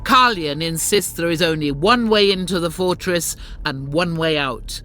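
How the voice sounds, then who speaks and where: A woman's voice: fairly low pitch, a little slow, bright, forceful tone. A woman playing a zealous, stern warrior sister in a game, speaking in solemn, pious, formal lines with music behind her. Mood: grim resolve. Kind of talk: dramatic scripted game narration and dialogue.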